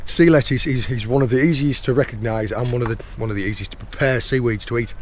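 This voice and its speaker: Croaky middle-aged man outdoors. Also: medium pitch, fast, a little hiss or hum. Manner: chatty, points things out as he goes. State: hoarse, croaky sore throat. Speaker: channel host and foraging guide. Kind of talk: casual outdoor explaining while foraging. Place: outdoors on a rocky shore in the rain.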